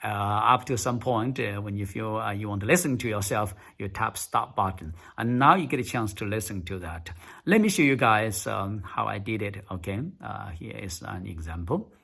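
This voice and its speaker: Halting man coaching spoken English. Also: on the low side, vocal fry, fairly bright tone. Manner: halting, many fillers, repeats words for emphasis. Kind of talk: casual spoken tutorial.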